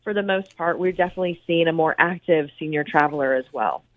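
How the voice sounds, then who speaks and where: A woman with a lowish voice pitches cruise trips in an upbeat, fluent way. She is heard over a tinny phone line. The sound is dry, with no room around it. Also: fast, dry recording. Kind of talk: promotional interview talk.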